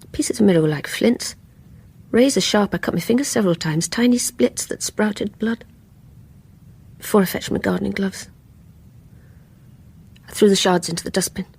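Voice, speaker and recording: An actress playing a guilt-ridden, envious woman confessing in an intense radio play performance, with a breathy voice. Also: mid-range voice, normal speed, long pauses. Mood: bleak, numb. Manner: intense, wavering between hushed confiding and anguished outburst. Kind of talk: radio play acting, dramatic confessional monologue.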